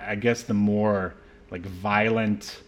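A man's voice: lowish voice, flat delivery, slow pace, dull audio. A man hosting a podcast, talking through a film in a casual, rambling and hesitant way. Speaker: podcast host. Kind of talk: casual film review chat.

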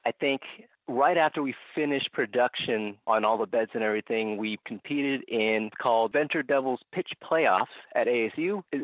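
A man's voice: medium pitch, no echo. A young man from Phoenix, a guest on a podcast, telling his story in an eager, upbeat way. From Phoenix, Arizona. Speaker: guest, urban farmer. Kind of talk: casual interview answers. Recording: tinny phone-line sound.

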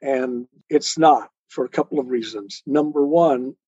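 A man's voice: mid-range voice, measured pace, warm tone, slightly rough. A man speaking as an interview guest, earnestly explaining spiritual ideas step by step in a didactic way. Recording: muffled, roomy, lo-fi sound.

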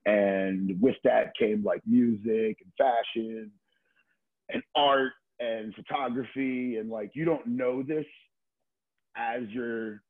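A middle-aged man with a husky, slightly rough voice at an ordinary pitch. He talks as a guest in a relaxed interview, telling stories loosely with slang, swearing and lots of "like" and "you know". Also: slow pace, narrow, tinny audio. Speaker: podcast guest, skateboarder. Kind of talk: casual conversational storytelling.

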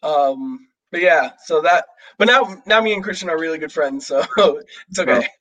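A young man talking casually with a fellow student, his voice sitting slightly high. He rambles and restarts often, packing in fillers as he works through his thoughts. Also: animated, normal speed, fairly bright tone, low-quality recording. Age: young adult. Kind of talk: casual chat.

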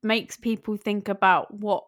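A woman hosting a casual interview, enthusiastic in her reactions and quick to laugh. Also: medium pitch, steady tone, fairly bright tone. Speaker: podcast host.

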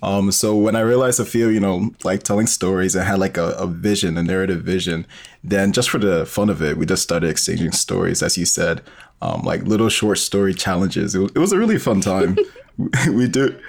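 Deep-voiced young man chatting, slightly gravelly. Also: a little fast. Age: young adult. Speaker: guest, podcast co-creator. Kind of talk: casual interview conversation.

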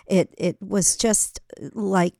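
A woman's voice: mid-range voice, fairly even intonation, slow, creaky, darkish tone, dry recording, clean studio sound. Woman interviewer with encouraging affirmations. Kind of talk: interview questions and backchannel.

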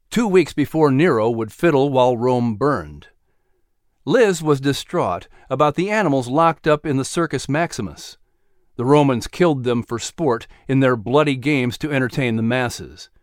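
A man playing an announcer who reads a story in a measured, dramatic way, as scripted narration. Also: medium pitch, dry recording, clean studio sound, natural voice.

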